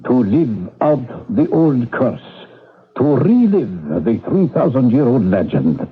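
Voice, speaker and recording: A man's voice: mid-range voice, very animated, slow, slightly rough, exaggerated voice. A man playing a grave, solemn figure speaking portentously of an ancient curse in a 1970s radio drama. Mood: ominous. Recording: muffled, narrow vintage radio recording.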